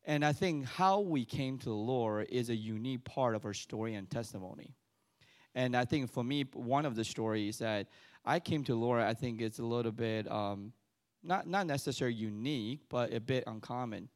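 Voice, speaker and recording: A middle-aged church elder from Taiwan tells his story from the pulpit. His voice sits at an ordinary male pitch. He talks loosely and conversationally, stumbling and restarting as he goes. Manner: conversational, halting with frequent restarts and fillers. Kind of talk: sermon testimony.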